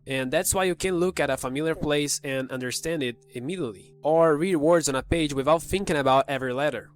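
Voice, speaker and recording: A man with a slight cold, hosting a casual explainer and talking straight to the viewer. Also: medium pitch. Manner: conversational, talks directly to the viewer. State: has a cold, voice a little off. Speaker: video host explaining psychology.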